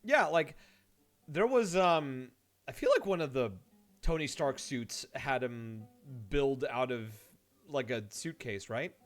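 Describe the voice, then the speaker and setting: Animated, joking male game streamer. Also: medium pitch, takes it slowly, soft background music. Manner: joking, off-the-cuff. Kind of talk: casual livestream commentary.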